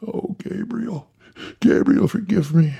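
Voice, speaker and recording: Guy doing a squeaky panicky little preacher, begging and gullible, bit raspy. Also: animated, takes it slowly, dark tone, exaggerated voice. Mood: pleading. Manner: pleading, breathless and high. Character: frantic little male reverend, gullible and pious. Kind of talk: storytelling.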